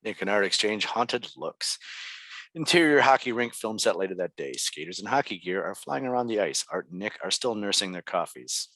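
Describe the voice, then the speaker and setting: A man's voice: fairly low pitch, animated, normal speed, bright, forceful tone, no echo. A man reading stage directions as scripted narration at a table read.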